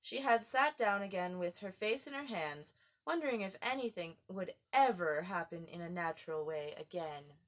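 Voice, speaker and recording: A woman's voice: lowish voice, lively intonation, moderate pace. Woman narrating a storybook. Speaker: audiobook narrator.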